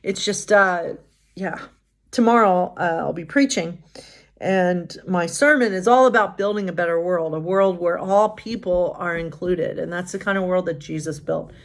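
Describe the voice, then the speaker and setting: A woman pastor hosting bedtime stories, reading aloud in an informal, expressive way with casual asides. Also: lowish voice, moderate pace. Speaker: pastor and bedtime story host.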